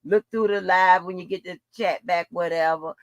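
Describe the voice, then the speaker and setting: A woman's voice: lowish voice, steady tone, moderate pace, no room sound. A woman in an unscripted livestream rant, blunt, profane and confrontational, repeating phrases for emphasis. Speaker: livestream host.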